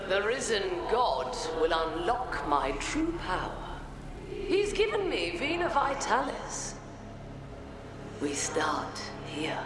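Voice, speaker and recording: An actress playing a cruel, imperious blood-mage villain in a video game, grandiose and commanding. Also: female voice, medium pitch, very animated, takes it slowly, noisy background. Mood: exultant. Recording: echoing, distant, loud music behind.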